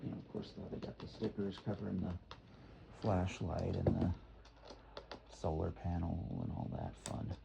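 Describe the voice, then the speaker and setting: A man's voice: low voice, steady tone, moderate pace, gravelly. A man thinking aloud in a casual unboxing vlog, muttering to himself and repeating words, on a noisy amateur recording. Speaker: product reviewer. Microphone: phone, handheld or nearby.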